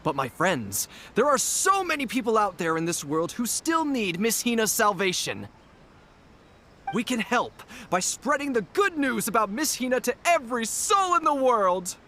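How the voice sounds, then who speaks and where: A woman's voice: on the high side, sing-song, normal speed, fairly bright tone, a little hiss or hum. A woman voicing a fervent, gushing fan club leader in an anime video game, preaching theatrically. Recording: faint game music behind.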